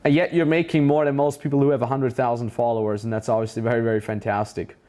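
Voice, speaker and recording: A man's voice: medium pitch, little pitch movement, quick pace, warm tone. A man with a German accent hosting a casual interview as a business mentor, enthusiastic and full of praise. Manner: enthusiastic, praising, many restarts.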